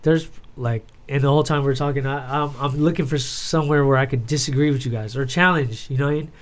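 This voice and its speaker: A man's voice: mid-range voice, fairly even intonation, quick pace. A man hosting a podcast in a loose, informal chat style, with slangy, sweary talk. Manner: informal, frequent "dude" and "you know what I mean". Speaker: podcast host.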